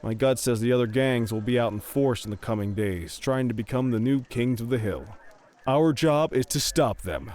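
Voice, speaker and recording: An actor playing a stern, fatherly police captain in a video game drama, speaking in a measured, authoritative, world-weary way. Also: medium pitch, a little mellow, close to an everyday voice. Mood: firm, commanding. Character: stern, paternal male police captain, middle-aged or older. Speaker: police chief addressing officers.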